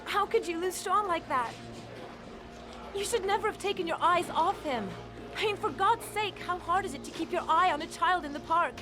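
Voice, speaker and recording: A grieving, reproachful ex-wife from a dramatic video game, her voice lifted very high and thin, as a man puts her on. Game music plays clearly under her. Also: moderate pace. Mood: accusing. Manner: reproachful, pleading, breaks into apology. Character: grieving, accusing ex-wife, middle-aged woman.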